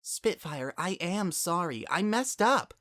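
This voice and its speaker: A man's voice: sits high, animated, takes it slowly, a bit bright, dry recording, studio quality, pitched above the performer's own voice, exaggerated voice. A man voicing a defensive young female unicorn pony, a guilty younger sister, in a dramatic story reading. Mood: guilty, hesitant. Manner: blunt, defensive, emotional.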